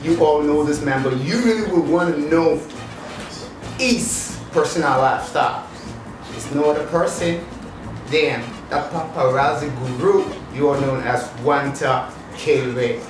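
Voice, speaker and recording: A man's voice: fairly high pitch, measured pace, far from the mic. A man hosting an interview show, chatty and upbeat, with lots of fillers. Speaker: host, MC. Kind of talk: casual talk-show interview. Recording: echoey room, heard from afar, music behind.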